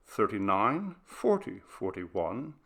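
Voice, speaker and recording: A curious, stubborn woman voiced by a male audiobook narrator reasons with herself in a ghost story. The voice has a gravelly fry, and the manner is firm and self-persuading. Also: fairly low pitch, sing-song, slow pace, fairly bright tone, full character voice. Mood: counting, uneasy. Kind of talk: audiobook character dialogue.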